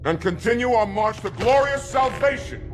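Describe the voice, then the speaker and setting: An actor playing a zealous alien leader who speaks in a grandiose, preachy way in a game cutscene. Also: male voice, extremely high pitch, expressive, moderate pace, loud music behind the voice. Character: lofty male alien leader, zealous and stern.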